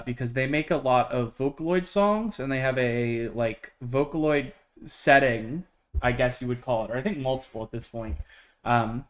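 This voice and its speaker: A young man streaming a game, chatting casually with his viewers and rambling with lots of fillers and restarts. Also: medium pitch. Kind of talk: casual chat with a live stream chat.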